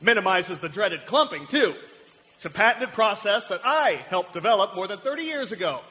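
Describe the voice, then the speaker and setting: A man's voice: high-pitched, expressive, normal speed, sharp tone. A man playing a proud, fussy older factory veteran who explains things earnestly and folksily in a comic live radio play. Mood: proud, explaining. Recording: echoey live hall, music behind.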